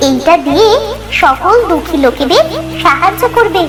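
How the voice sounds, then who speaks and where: High-voiced gentle dream fairy played by a woman. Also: expressive, moderate pace, over loud music. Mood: kindly. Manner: benevolent, instructing. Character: gentle, kindly dream fairy granting a gift. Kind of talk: cartoon voice acting.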